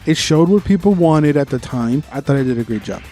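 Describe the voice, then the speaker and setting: A man's voice: on the high side, lively intonation, brisk, warm tone, soft background music. A man co-hosting a podcast, giving an informal, opinionated movie review in casual conversation and swearing freely.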